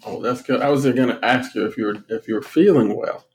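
A man co-hosting a podcast, speaking in a measured, thoughtful way. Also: mid-range voice, a little mellow, rough recording. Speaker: podcast co-host, reverend. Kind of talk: casual podcast chat.

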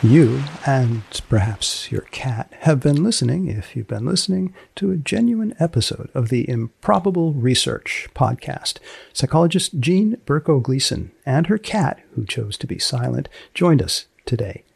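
A man hosting a podcast, asking questions and making announcements in a deadpan, dryly deliberate manner. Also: mid-range voice, gravelly, husky, no echo. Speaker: podcast host and interviewer. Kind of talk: podcast interview and scripted announcements.

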